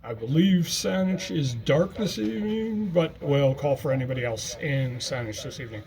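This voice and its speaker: A man's voice: a little high, animated, moderate pace, darkish tone. A man calling stations in turn as the controller of an amateur radio net. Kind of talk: radio net roll call.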